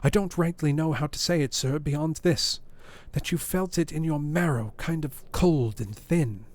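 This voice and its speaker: A man voicing a frightened, loyal old male butler who pleads in rustic phrasing, raised in pitch above his own voice. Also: measured pace, a little mellow, no room sound, close to an everyday voice.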